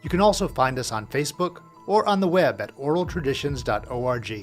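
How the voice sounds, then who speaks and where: A man's voice: on the high side, expressive, fairly quick. Man reading credits over music. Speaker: credits announcer. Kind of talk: scripted credits narration. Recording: music under the voice.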